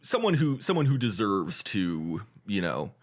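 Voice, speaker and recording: A man with a somewhat low voice talks loosely on a casual podcast. He wanders through his points, stalling on fillers and stumbling over restarts as he goes. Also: sing-song. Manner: rambling, halting, many fillers and restarts. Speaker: podcast co-host. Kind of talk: casual chat.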